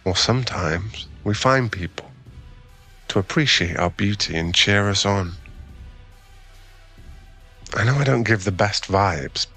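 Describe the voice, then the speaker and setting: A man's voice: fairly low pitch, a little slow, pauses often, bright, forceful tone, faint music behind, full character voice. A man playing an awkward, kind young gym owner with a crush in an ASMR boyfriend roleplay, speaking in an earnest, hesitant, stammering way. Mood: reassuring.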